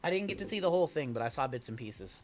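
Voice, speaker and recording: A man's voice: a little high, animated, rapid-fire. A man hosting a live card break, chatting casually and reading the chat aloud with a joking manner. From Iowa. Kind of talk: casual live-stream chat while opening cards.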